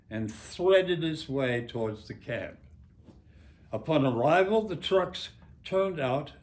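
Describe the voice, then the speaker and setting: An elderly American man in his nineties reads literary prose aloud at a very unhurried pace. His pitch sits in an average male range. He phrases deliberately and dramatically, leaving pauses between short groups of words. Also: darkish tone. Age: 90s. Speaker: author. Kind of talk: reading own memoir aloud, literary narration.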